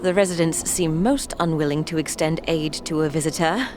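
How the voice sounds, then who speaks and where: An actor playing a timid, lost gentleman who is secretly a conman, stammering in florid, polite phrases for a fantasy audio drama. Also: on the high side, brisk, noisy background, natural voice. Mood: anxious pleading.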